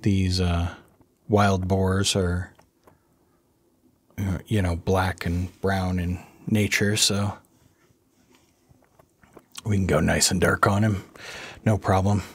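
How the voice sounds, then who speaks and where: A man with a somewhat low, husky, raspy voice talks through his drawing at an unhurried, dragging pace. He is under the weather and low on energy, rambling softly and chuckling now and then as he explains his process. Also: flat delivery, dry recording. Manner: slow, rambling, soft-spoken with chuckles. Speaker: art channel host. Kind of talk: relaxed drawing voice-over.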